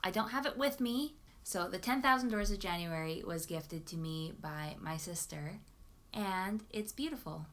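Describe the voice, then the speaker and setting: A young woman talking casually to camera as a book vlogger, in an expressive, informal way and often correcting herself. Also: fairly low pitch, normal speed. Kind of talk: casual chat to camera about books.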